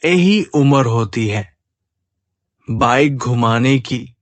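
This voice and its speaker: A man's voice: mid-range voice, no echo. Spoiled young man with swinging intonation , acted. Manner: demanding, insolent. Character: spoiled, defiant young adult son of rich merchant. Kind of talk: Hindi moral-story cartoon dubbing.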